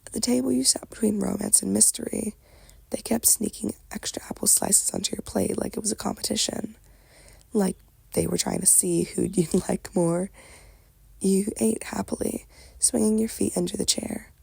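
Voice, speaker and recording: Female ASMR storyteller, very husky whisper with heavy creak, soft delivery. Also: mid-range voice, steady tone, moderate pace, dry recording. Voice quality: breathy, whispery, crackly. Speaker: ASMR roleplay narrator.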